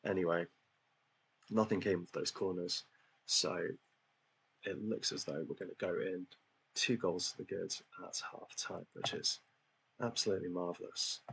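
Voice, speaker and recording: A man's voice: low voice, creaky, fairly bright tone, a little hiss or hum, low-quality recording. A man commentating casually on a football management game he is playing, talking in a chatty, hesitant way with lots of ums and self-deprecating asides. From England. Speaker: gaming channel host.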